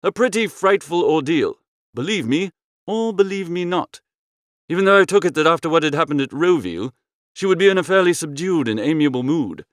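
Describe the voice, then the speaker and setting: Guy reading a comic audiobook, very lively swings in pitch, breezy and theatrical, dry close sound. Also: brisk, dry recording. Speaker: audiobook narrator. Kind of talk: expressive first-person comic story narration.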